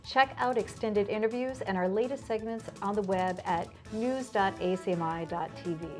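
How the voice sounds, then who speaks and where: A female news anchor speaks at a middle pitch, moving between scripted presenting and light banter. Faint music plays under her voice. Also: moderate pace.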